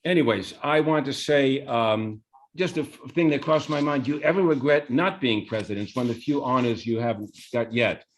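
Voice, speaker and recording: A man's voice: medium pitch, fairly quick, slight background noise, low-quality recording. A male moderator speaks informally at a live talk event. He moves through an introduction and questions in a conversational way, with small hesitations and self-corrections. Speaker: moderator, interviewer.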